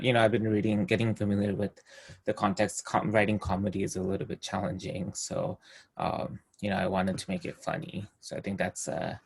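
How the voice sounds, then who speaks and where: A man speaks over a video call, his voice low and husky with a gravelly edge. His delivery is flat and halting, and he fills it with ums and uhs. It is a casual meeting update. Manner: hesitant, many fillers, soft trailing phrases. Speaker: screenwriter sharing project update.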